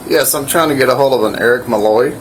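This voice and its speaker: A man's voice: medium pitch, fast talker. A man speaking on the phone as a police officer, polite, reserved and businesslike. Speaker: police officer returning a call. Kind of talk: formal phone conversation. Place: on a telephone. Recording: faintly noisy recorded phone call.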